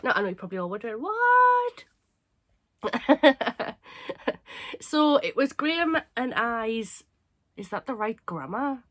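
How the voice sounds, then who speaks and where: A young woman from England with a cold, sounding hoarse and stuffy, chatting casually and rambling to camera as a craft channel host. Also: a little high, big pitch swings, slow, long pauses, a bit bright. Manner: chatty, rambling, self-mocking.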